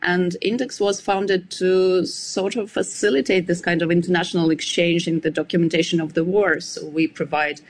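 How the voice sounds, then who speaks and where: A woman's voice: fairly low pitch, fairly even intonation, moderate pace, few pauses. A woman from Ukraine with a Ukrainian accent, giving considered answers as an interview guest. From Zaporizhia, Ukraine. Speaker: interview guest, founder of a research organization.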